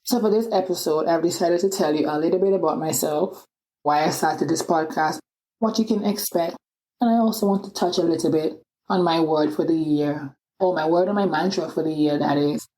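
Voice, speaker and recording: A young woman with an Antiguan island accent hosts her own podcast, talking alone into the mic. Her voice sits low, and she keeps her talk upbeat and chatty, with plenty of casual asides to her listeners. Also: a little fast, warm tone, rough recording. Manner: upbeat and chatty, many "you know" asides. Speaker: podcast host. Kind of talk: solo podcast monologue.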